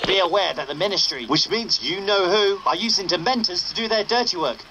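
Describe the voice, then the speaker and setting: A man's voice: high-pitched, fairly quick, background noise. A man playing an earnest young wizard reading an underground radio bulletin in a video game. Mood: grave, urgent.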